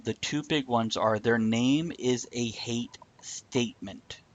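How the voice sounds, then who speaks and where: A man's voice: medium pitch, slow pace, lo-fi sound. A man hosting a live stream in casual, rambling chat, blunt and joking with some swearing.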